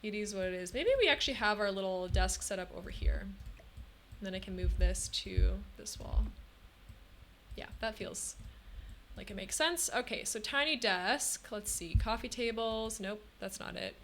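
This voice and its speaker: A woman's voice: medium pitch, moderate pace, a bit bright, slight background noise. Young woman streamer thinking aloud. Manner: chatty, thinking aloud with frequent hmms and ums. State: throat feels scratchy and hard to swallow. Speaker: game streamer. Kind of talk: casual live-stream commentary while building in a game.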